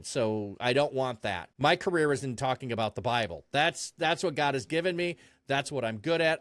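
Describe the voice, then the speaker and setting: A chatty, easygoing man talks in a relaxed way about his hobbies and his work, often poking fun at himself. His voice sits at a middle pitch for a man. The sound is dry and close, with no room sound. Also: dry recording. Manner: chatty, easygoing, self-deprecating. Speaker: streamer guest. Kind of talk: casual conversation.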